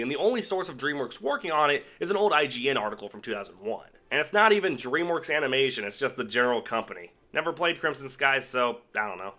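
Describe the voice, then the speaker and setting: A man hosts a scripted video countdown in a bright, forceful voice. His pitch swings around a lot as he races through each entry. He is sarcastic and irreverent, and swears casually. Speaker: video essay host.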